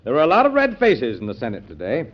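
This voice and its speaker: Brisk, very animated aide. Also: male voice, mid-range voice. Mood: eager, newsy. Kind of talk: 1940s radio acting. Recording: dull, muffled old radio broadcast.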